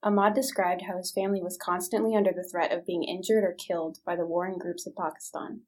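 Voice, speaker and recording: A woman reading scripted feature narration in an even reportorial tone. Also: fairly low pitch, quick pace, low-quality recording.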